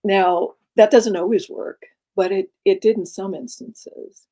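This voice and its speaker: A middle-aged woman executive coach with a soft, breathy, laid-back voice, speaking calmly in an interview. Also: medium pitch. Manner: calm, unhurried, laid-back. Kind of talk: conversational interview.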